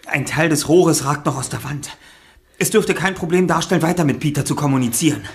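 A man's voice: on the high side, brisk, creaky. A composed, analytical boy detective. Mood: calm, reasoning. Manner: precise, analytical, formal wording.

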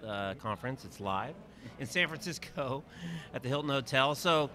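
A man's voice: mid-range voice, expressive, normal speed, pushed and bright. A middle-aged man hosting a live conference interview, upbeat and chatty, often restarting his questions. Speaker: interview host. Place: busy hotel conference hall, indoors. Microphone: handheld mic. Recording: noisy crowd in a conference hall. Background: crowd chatter.

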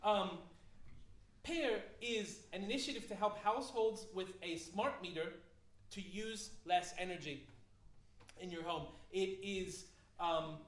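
A man's voice: high-pitched, fairly bright tone. A man presenting an awards show on a theatre stage, chatty and playful, often correcting himself. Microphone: handheld mic, far camera. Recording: echoey hall, from far off.

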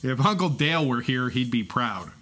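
A man playing a nervous, excitable co-host in scripted audio show banter. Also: mid-range voice, moderate pace. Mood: amused, hosting.

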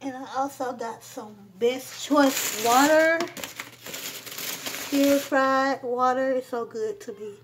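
A woman's voice: high voice, slow, background noise. A woman chatting casually in a home grocery haul vlog, rambling with many ums and filming on a handheld phone. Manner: rambling, full of ums, warm shout-outs. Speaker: vlogger. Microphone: phone camera held while filming.

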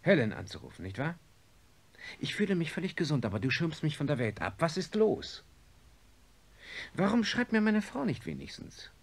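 An actor playing a wealthy, impatient industrialist in a radio drama, with a commanding manner. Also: male voice, fairly high pitch, sing-song, normal speed, gravelly, pauses often, full character voice. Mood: agitated, angry. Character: wealthy industrialist reborn in a young body, imperious, impatient. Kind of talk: German radio drama acting. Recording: hissy radio play recording.

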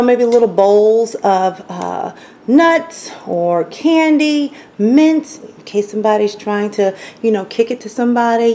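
A woman vlogger casually showing her home bar while filming it, chatty and relaxed, with plenty of um and you know. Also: mid-range voice, vocal fry, slight background noise. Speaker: lifestyle vlogger. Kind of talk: casual home tour talk to camera. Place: home basement bar, indoors.

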